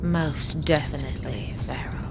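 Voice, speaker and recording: Vampire villainess, deep for a woman, unhurried, silky, mocking, smugly superior, game cutscene, noisy ambience. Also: fairly even intonation. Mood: guarded. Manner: smooth, mocking, theatrically poised. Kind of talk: video game cutscene acting. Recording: clear noise and ambience behind.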